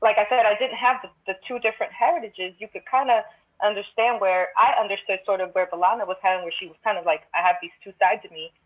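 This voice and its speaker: A woman's voice: mid-range voice, fast. A woman from Brooklyn, a podcast guest, talking through her thoughts in a reflective, searching way over a remote call. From Brooklyn, New York, United States. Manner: thoughtful, reflective, talks through ideas with many restarts. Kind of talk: casual podcast conversation. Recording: tinny phone-like remote call line.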